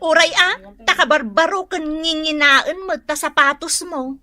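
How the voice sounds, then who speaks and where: A woman's voice: high voice, measured pace, pushed and bright, exaggerated voice. A woman with an Ilocano accent playing a blunt, chiding young co-worker in a comic radio drama. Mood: scornful.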